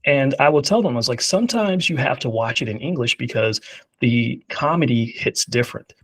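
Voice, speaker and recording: A man's voice: mid-range voice, expressive, a little fast, fairly bright tone. A man hosting an interview, asking questions in a casual way with lots of fillers.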